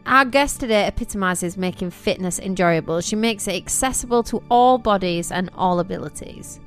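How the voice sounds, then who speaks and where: A woman's voice: mid-range voice, moderate pace. Woman, playful co-host, self-mocking, faint music in background. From York, England. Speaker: podcast co-host. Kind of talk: casual podcast chat.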